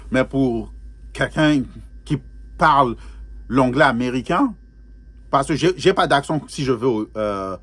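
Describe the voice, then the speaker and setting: A man reacting to music in a loose, talkative way, circling back to the same phrase again and again and tossing English words into his sentences. He laughs easily as he goes. His voice sits at an ordinary middle pitch for a man. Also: lively intonation, takes it slowly, long pauses, fairly bright tone, breathy edge. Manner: chatty, repeats phrases, drops English words into French; laughs often while talking. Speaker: music reaction video host. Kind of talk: casual spoken reaction commentary.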